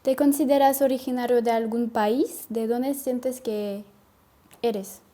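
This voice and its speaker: A woman's voice: fairly high pitch, fairly even intonation, a little slow, warm tone. A woman interviewer asking short, prompting questions.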